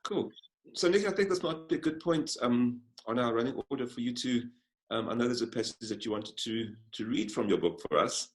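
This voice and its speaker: A male interviewer asking thoughtful, halting questions over a video call. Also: medium pitch, low-quality recording.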